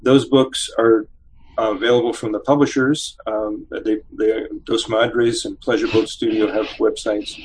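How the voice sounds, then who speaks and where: A man in his seventies, a poet, reading a poem slowly and then answering interview questions with many pauses and fillers. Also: lowish voice, little pitch movement, darkish tone, slight background noise, rough recording. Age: 70s. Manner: slow measured reading, hesitant with fillers when chatting. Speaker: poet and novelist guest.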